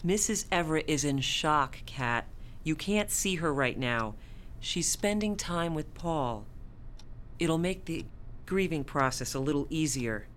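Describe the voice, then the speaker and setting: An actress in a radio drama playing a composed, firm yet compassionate hospital staffer, speaking in a measured, formal, consoling way. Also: female voice, low voice, lively intonation, a little hiss or hum. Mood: formal, controlled.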